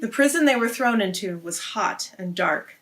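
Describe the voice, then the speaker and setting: A woman's voice: mid-range voice, lively intonation, moderate pace, a bit bright. A woman hosting a read-aloud, narrating a story for children.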